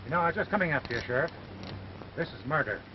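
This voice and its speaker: Defiant American cowboy, played by a male actor, slightly high voice, 1930s western, noisy soundtrack. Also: lively intonation, fast talker, lo-fi sound, close to an everyday voice. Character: accused cowboy, defiant, level-headed man protesting his innocence. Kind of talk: 1930s western film acting.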